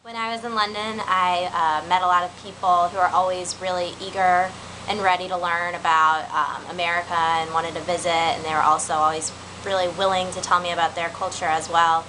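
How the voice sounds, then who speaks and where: Earnest young woman, lowish voice. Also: flat delivery, fairly quick, sharp tone, slight background noise, rough recording. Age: young adult. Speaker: student interviewee. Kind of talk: interview answers. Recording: clear background ambience.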